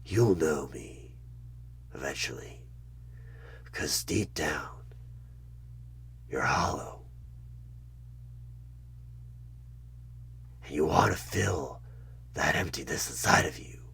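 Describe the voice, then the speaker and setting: An extremely low, raspy devil tempts the listener in a horror roleplay. He is menacing and coaxing, drawing words out slowly and slipping into breathy whispers. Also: exaggerated voice. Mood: cold, patient. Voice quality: husky, breathy half-whisper. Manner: slow, menacing, coaxing, with sudden loud bursts. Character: sinister devilish tempter bargaining for a soul. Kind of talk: horror ASMR one-sided roleplay.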